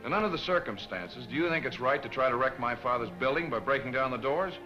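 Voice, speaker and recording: A breezy young playboy publisher from an old film serial. He speaks quickly in a clear-cut, bright voice with a flippant, confident manner. Faint music sits behind the old soundtrack. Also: medium pitch, animated, poor audio. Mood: flippant, dismissive. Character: young newspaper boss, suave, flippant playboy. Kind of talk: 1940s film serial acting.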